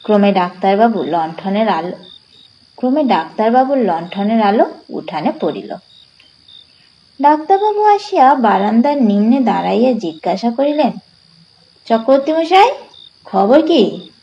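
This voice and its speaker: A woman narrating a literary story as a storyteller, with deliberate, dramatic phrasing. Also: mid-range voice, big pitch swings, warm tone, dull audio.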